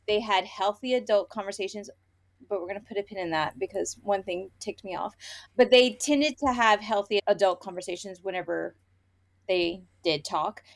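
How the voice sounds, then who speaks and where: A woman co-hosting a casual book review podcast, talking in an emphatic, opinionated and conversational way. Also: medium pitch. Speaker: podcast co-host reviewing romance novels.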